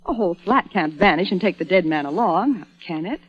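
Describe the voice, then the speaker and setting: A woman's voice: on the low side, lively intonation, fairly quick, over music, natural voice. An actress playing an exasperated, protective young woman who chides and coaxes theatrically in a vintage radio drama. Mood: chiding. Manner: chiding, theatrical, coaxing. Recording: dull old radio recording.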